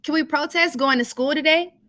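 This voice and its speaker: A curious young storybook child, acted out by a woman, with a high, bright voice. The child is inquisitive and puts simple questions to the parents. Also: brisk. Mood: eager, curious.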